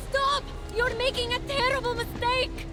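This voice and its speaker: A woman voicing a brave, anguished young heroine in a video game, urgent and emotional. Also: very high voice. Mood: alarmed, pleading. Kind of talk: video game cutscene acting.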